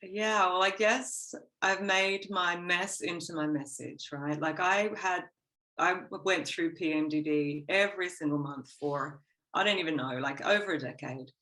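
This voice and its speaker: Chatty Australian woman with a lowish voice. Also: unhurried, pushed and bright, rough recording. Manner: chatty, laughs easily, frank and encouraging. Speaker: guest. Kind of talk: casual interview chat. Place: home in Copenhagen, remote call.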